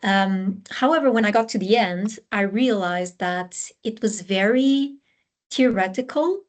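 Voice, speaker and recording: A woman from Portugal with a Portuguese accent, a scientist guest explaining her work and career in a relaxed interview, with frequent fillers and restarts. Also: mid-range voice, unhurried. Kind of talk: conversational interview answers.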